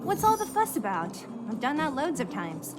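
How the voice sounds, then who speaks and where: A woman's voice: high-pitched, wildly swooping pitch, normal speed. A woman voicing a tough, blunt, cocky young female fighter in a video game. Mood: brisk, dismissive. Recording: game music behind the voice. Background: music.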